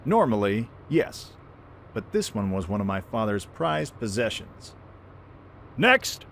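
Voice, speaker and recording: A man playing a brash, friendly young pirate prince in an animated, informal way for a fan audio drama. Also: lowish voice, takes it slowly, vocal fry, a little hiss or hum, exaggerated voice. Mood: proud, explaining.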